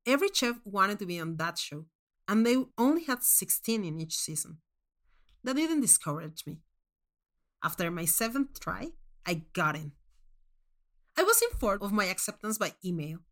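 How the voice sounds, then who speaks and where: A woman reading a first-person short story aloud as narrator, in an expressive, dramatic manner. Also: mid-range voice.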